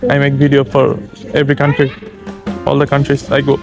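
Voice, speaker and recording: A man's voice: medium pitch, completely flat delivery, fairly quick, music in the background. A young man with a Bangladeshi accent chatting casually as a travel vlogger, often repeating short phrases. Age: young adult. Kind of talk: casual chat with locals.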